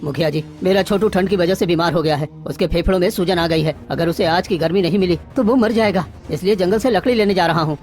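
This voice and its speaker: A woman's voice: fairly low pitch, fast, few pauses, a little mellow, soft background music, pitched below the performer's own voice, natural voice. A woman voicing a poor, desperate village father in a cartoon, earnest and pleading. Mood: pleading, defiant. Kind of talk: melodramatic cartoon dialogue acting.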